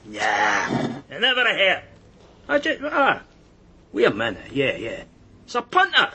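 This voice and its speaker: A sly, jumpy jailbird informant from a radio crime drama. His voice is raspy and bright, swinging wildly in pitch as he stammers, repeats himself and sneers. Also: huge pitch swings, a little slow, heavily acted. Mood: sly, wary. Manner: agitated, stammering repetitions, sneering.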